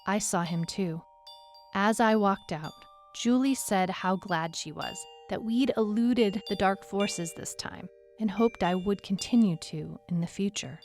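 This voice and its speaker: A woman's voice: medium pitch, measured pace, a little mellow, lightly acted. Woman as weary, skeptical detective narrator over music. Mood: brisk, wry. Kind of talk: scripted first-person story narration. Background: music bed under the voice.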